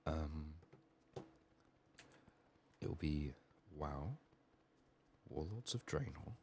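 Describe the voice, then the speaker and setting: Very deep, hushed soft-spoken man. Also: animated, extremely slow, vocal fry, a little hiss or hum. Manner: hushed, often slipping into whispers. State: sick, strained voice. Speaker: video game streamer. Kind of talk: soft-spoken ASMR gameplay commentary.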